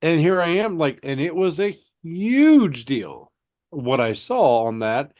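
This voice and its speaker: A man's voice: fairly high pitch, sing-song, a little mellow. Stuffy-nosed man chatting on a podcast. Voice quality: nasal, stuffy. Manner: halting, repeats words, storytelling. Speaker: podcast co-host. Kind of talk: casual podcast chat.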